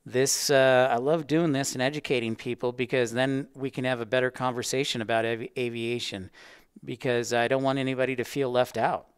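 Male educator-host for a casual livestream. Mid-pitched, clean voice, rambling conversational delivery, very little room sound. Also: fairly even intonation, fairly quick, clear, resonant tone, no echo, clean studio sound. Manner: chatty, rambling, addressing viewers by name. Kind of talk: casual livestream chat with viewers.

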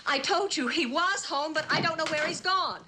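Anxious devoted wife, hesitant, clear-edged bright tone, vintage TV drama, slight background noise. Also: female voice, high-pitched, normal speed. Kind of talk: mid-century American television drama acting. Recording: faint noise, old television soundtrack.

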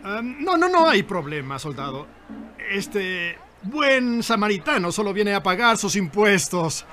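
A man playing a smug, wheedling tax collector in an animated Bible film, nervous and ingratiating in manner. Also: high voice, a little slow, heavily acted.

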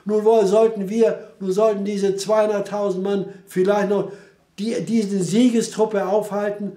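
A man's voice: high voice, warm tone, reverberant. An older man recounting wartime memories in an interview, speaking with indignant passion in a living room. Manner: impassioned, indignant storytelling.

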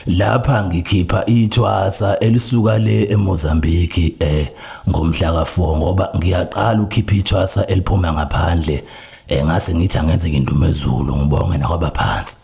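Man putting on a deep, solemn South African healer. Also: fairly even intonation, gravelly, mellow. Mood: solemn, businesslike. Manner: hesitant, deliberate, repeats himself. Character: solemn, slow-spoken male traditional healer hiring a musician. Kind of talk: prank phone call.

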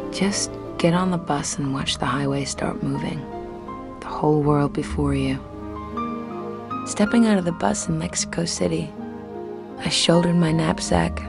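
A woman's voice: low voice, creaky. A stranded, anxious young woman. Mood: reflective. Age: young adult. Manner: wry, talking to herself. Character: young woman stranded on a dinosaur island, frightened. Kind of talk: game dialogue and narration.

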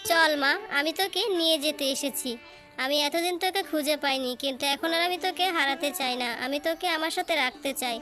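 A woman's voice: high voice, fairly quick. A woman playing a sorrowful fairy mother in a cartoon, lamenting and emotional. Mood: tearful longing. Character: sorrowful fairy mother longing for lost daughter. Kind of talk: cartoon voice acting. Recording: loud music under the voice.

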